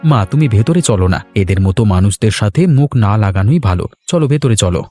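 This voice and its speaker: A man's voice: lowish voice, animated, a little fast, warm tone, no echo. A man voicing a proud, self-assured young village son in a cartoon story. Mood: dismissive. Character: proud young village son, newly employed, low-voiced. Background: music.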